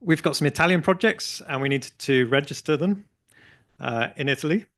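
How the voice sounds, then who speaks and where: A man in casual panel conversation, his voice sitting slightly high. He talks in a relaxed, halting way, with frequent fillers and restarts. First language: English. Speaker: panelist at an industry forum.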